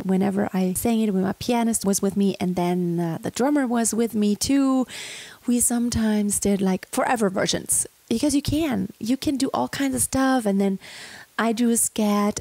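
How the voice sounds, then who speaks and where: A woman, teaching a singing lesson in an animated, expressive way and breaking into soulful sung examples. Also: on the high side, gravelly, dry recording. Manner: animated and expressive, gesturing and switching between talk and soulful singing. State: slightly rough voice. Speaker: vocal coach. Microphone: studio mic on a boom stand in front of her.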